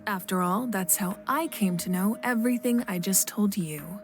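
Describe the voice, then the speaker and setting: An actress playing a composed, noble young warrior queen and kindly deity in a video game, speaking in a measured, gentle, dignified way. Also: medium pitch, close to an everyday voice. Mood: solemn, explanatory. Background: faint background music.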